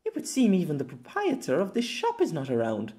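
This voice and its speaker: Man putting on a high-pitched, giggly young lady. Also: huge pitch swings, brisk, mellow. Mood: playful, musing. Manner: quaint formal phrasing, giggly, wide-eyed. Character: giggly, teasing, old-fashioned young lady, easily delighted.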